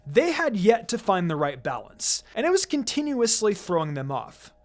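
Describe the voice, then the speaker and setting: A narrator reading fan fiction aloud in an expressive, scripted storytelling style. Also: medium pitch, normal speed. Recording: background music under the voice. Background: music.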